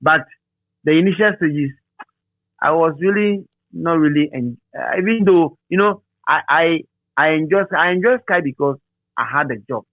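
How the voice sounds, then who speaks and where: A man's voice: fairly high pitch, normal speed, no echo. A middle-aged man with a Ghanaian accent, reminiscing in a casual interview and often restating phrases for emphasis. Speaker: interviewee, radio DJ. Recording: thin, phone-like narrow-band line.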